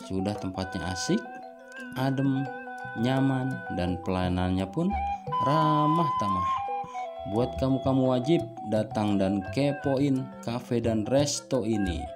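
Upbeat male voice-over over loud music. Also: mid-range voice, slow. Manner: upbeat and persuasive. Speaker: channel presenter. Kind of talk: promotional voice-over.

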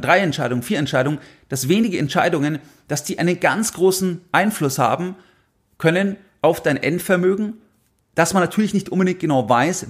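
Higher-pitched man explaining things. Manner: explanatory, repeats phrases for emphasis. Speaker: finance podcast host. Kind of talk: explanatory monologue to listeners.

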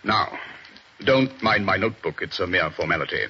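An actor playing a stern middle-aged police chief inspector, in a 1940s radio drama, husky and clipped. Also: male voice, low-pitched, animated, normal speed, sharp tone, a little hiss or hum, heavily acted. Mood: grave. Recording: dull old radio broadcast.

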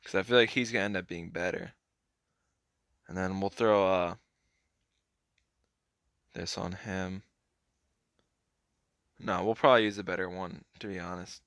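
A hoarse young man talks through a mobile card game in a slow, unhurried drawl, his voice sitting on the low side. He often lets his words fade into a mumble. The sound is dry and close, with no room around it. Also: fairly even intonation, fairly bright tone, dry recording. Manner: laid-back, mumbled, trailing off. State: hoarse, keeps clearing throat. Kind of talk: casual gameplay commentary.

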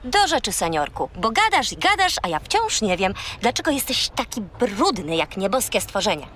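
A woman's voice: mid-range voice, big pitch swings, moderate pace, fairly bright tone, slight background noise. An actress playing a bossy, exasperated young girl who scolds and corrects in a children's radio play.

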